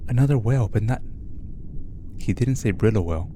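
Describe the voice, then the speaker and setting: A man's voice: lowish voice, lively intonation, a little fast, warm tone, a little hiss or hum. A man giving informal play-along reactions to a game, with muttered asides to himself. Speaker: game streamer. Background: game music.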